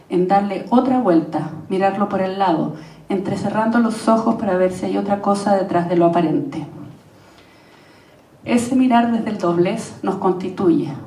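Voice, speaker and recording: A Chilean playwright and actress reading a prepared text at a panel, measured and reflective, pausing through long lists. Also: female voice, mid-range voice, warm tone, recorded from a distance. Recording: echoey room, voice from off-mic.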